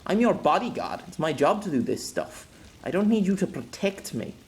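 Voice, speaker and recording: A man voicing a blunt, loyal male bodyguard who talks in rough, casual speech. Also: high voice, big pitch swings, moderate pace, darkish tone, voice pushed up. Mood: gruff.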